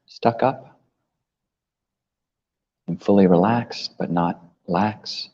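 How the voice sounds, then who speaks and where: A man leading a guided meditation as a teacher, gently instructing with long pauses between phrases. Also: lowish voice, slightly rough. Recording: muffled, long reverberant hall echo.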